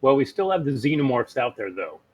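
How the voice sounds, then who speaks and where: A man's voice: medium pitch, sing-song, fairly quick. A man talking casually as a player in a tabletop game.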